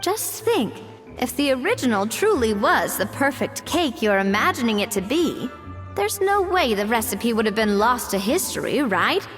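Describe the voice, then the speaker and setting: A woman's voice: on the high side, big pitch swings, normal speed, a bit bright, long reverb tail, soft background music. A woman playing a cheerful, reassuring young woman in a video game, with a light, coaxing, conversational delivery. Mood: playful, reassuring.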